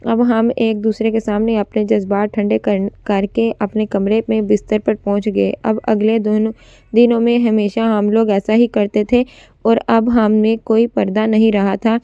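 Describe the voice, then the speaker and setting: A woman's voice: mid-range voice, level tone, normal speed, warm tone, clean tone, no echo, highs cut off. A woman narrating a scripted first-person story, occasionally stumbling as she reads.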